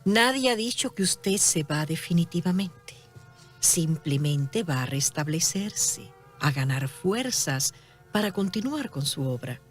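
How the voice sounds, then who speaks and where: An actress playing a generous, concerned duchess, gentle but insistent and formal, in a religious radio drama. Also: female voice, on the low side, animated, measured pace, faint music behind. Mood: reassuring, insistent.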